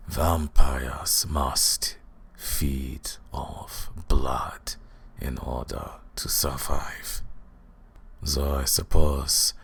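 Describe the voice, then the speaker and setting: A man voicing a cruel, mocking vampire lord in an ASMR roleplay, speaking slowly and deliberately with a gravelly, breathy voice that drops into whispers. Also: extremely low pitch, heavily acted. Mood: haughty, menacing. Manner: slow, deliberate, taunting, dropping into whispers.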